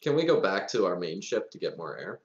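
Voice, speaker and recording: Guy playing a tabletop rpg, kinda low voice, roomy echo, casual and questioning. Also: big pitch swings, brisk, highs cut off. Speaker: player in a tabletop game. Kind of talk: casual game chat. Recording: roomy, echoing voice pickup.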